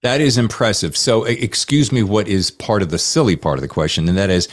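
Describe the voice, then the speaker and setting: A man's voice: lowish voice, a little fast. A man hosting a podcast interview in an effusive, showy, emphatic conversational style. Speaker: podcast host.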